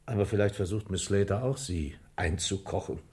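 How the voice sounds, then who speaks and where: A man's voice: deep voice, normal speed, mellow, natural voice. An actor playing a bossy, sardonic police chief in a German crime radio play, mocking and needling as he talks. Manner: mocking, blustery, teasing.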